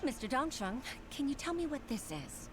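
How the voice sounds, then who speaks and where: A woman's voice: sits high, very animated, normal speed, over music. A woman playing an earnest, respectful young female official in a video game, sincere, formal and polite. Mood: stern.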